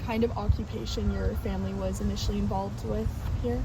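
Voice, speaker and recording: A woman interviewer asking about family history and offering brief encouraging responses. She sits away from the microphone, so her voice sounds distant. Loud traffic noise almost buries her words. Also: medium pitch, level tone, fairly quick.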